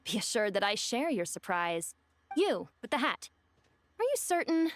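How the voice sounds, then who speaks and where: A woman's voice: a little high, sing-song, normal speed. A woman voicing a proud, ancient djinn spirit in a fantasy game, with formal, imperious phrasing. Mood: haughty. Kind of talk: fantasy video game voice acting.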